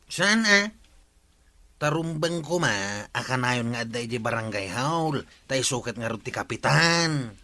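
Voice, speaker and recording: Stubborn village man, bright, argues back. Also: mid-range voice, lively intonation, measured pace. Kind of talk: radio comedy drama acting.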